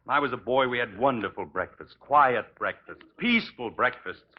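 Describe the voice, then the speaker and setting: Actor as pompous, exasperated 1950s radio dad. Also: male voice, medium pitch, expressive, moderate pace, natural voice. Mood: pompous, scolding. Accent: American. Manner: pompous, formal scolding. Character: exasperated, stern, pompous suburban family father. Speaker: father in a family comedy. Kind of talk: 1950s radio sitcom acting. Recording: dull, noisy 1950s radio recording.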